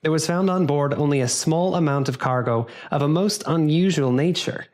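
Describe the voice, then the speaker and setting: An actor playing a grave narrator in a gothic audio drama, telling the tale in a solemn, deliberate, dramatic way. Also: male voice, fairly high pitch, a little mellow, lightly acted. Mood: solemn, ominous. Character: grave narrator reporting an eerie shipwreck.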